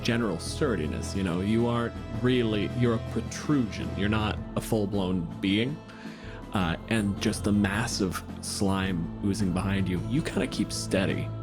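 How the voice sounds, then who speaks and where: A man working as game master, improvising vivid, dramatic narration for a tabletop game with deliberate pauses. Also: lowish voice. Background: music.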